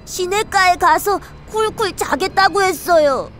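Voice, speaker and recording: A little baby goat from a storybook, very high-pitched and childlike. The delivery is earnest and plaintive, over faint music. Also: female voice, measured pace, fairly bright tone. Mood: earnest, obedient. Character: small, earnest youngest baby goat in a fairy tale.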